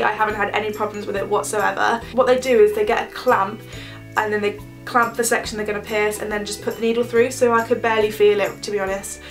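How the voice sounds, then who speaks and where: A young woman with a cold talks casually to camera, her voice nasal and stuffy. She rambles along, filling gaps with ums. The mic sits a little way off, with soft music in the background. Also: medium pitch, distant mic. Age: young adult. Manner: chatty, rambling with many ums. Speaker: vlogger.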